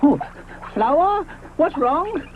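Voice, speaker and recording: A man voicing a fussy, panicky male ichthyosaur with a shrill, flustered voice in a storybook. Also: very animated, takes it slowly, full character voice. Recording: tinny lo-fi with faint hiss.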